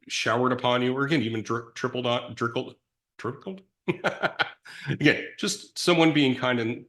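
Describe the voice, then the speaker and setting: A middle-aged man in recovery telling his story in a reflective, rambling way with many restarts and self-deprecating asides. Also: medium pitch. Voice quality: big loud voice. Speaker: guest in recovery.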